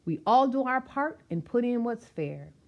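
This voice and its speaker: A woman reading a rhyming picture book aloud as a storyteller, expressive and emphatic, with questions and asides to her listeners. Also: fairly high pitch, normal speed, highs cut off.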